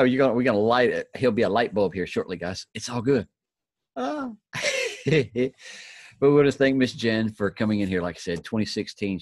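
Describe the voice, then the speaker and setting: A man with a low voice leads a motivational group in a folksy, encouraging way. The recording is close and dry, with little room around him. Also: darkish tone, no room sound. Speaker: host of a daily inspirational group.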